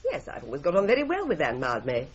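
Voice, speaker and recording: An actress playing a composed, crisp senior secretary in an old radio play. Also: female voice, sits low, huge pitch swings, quick pace, exaggerated voice. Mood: curious. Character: composed middle-aged female senior secretary. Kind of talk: 1950s-style radio drama acting. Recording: dull vintage radio recording.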